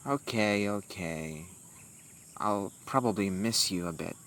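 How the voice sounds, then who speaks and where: Very slow, halting young warlock played by a man. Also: on the low side. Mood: bashful, reluctant. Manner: halting, soft-spoken, addressing the listener intimately. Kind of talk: amateur romantic roleplay audio drama. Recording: noisy, with insect-like outdoor ambience. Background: crickets and night forest ambience.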